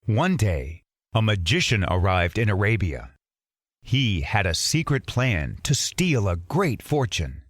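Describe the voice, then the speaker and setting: A man narrating children's fairytales as a storybook reader, measured and expressive. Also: lowish voice, no room sound, studio quality.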